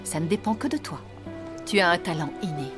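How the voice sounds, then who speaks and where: An actress playing an exacting but kindly ballet teacher in a cartoon, speaking in a formal, precise, theatrical way. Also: female voice, on the low side, fairly quick, music in the background, natural voice. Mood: gentle, encouraging. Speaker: voice actor. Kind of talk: French animated series dubbing.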